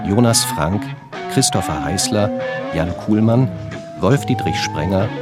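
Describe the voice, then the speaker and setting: A man reading scripted radio play credits as an announcer. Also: fairly low pitch. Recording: loud guitar music behind.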